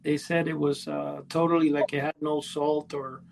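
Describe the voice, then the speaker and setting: A man co-hosting a podcast in casual chat, telling stories in a rambling, halting way with false starts. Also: a little high, little pitch movement, gravelly, slight background noise. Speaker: podcast co-host.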